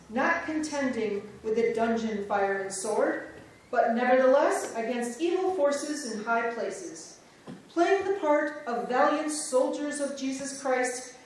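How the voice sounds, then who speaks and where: High-voiced woman reading solemnly in a church. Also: a little hiss or hum. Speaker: reader. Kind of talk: formal reading of a historical letter. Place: church sanctuary. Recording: echoey church sanctuary, distant mic.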